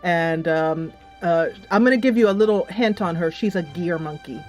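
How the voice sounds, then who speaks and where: A woman's voice: lowish voice, moderate pace, darkish tone. Woman game channel host just chatting, kinda rambly and a bit dramatic, music under her voice. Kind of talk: casual game review and upcoming series chat.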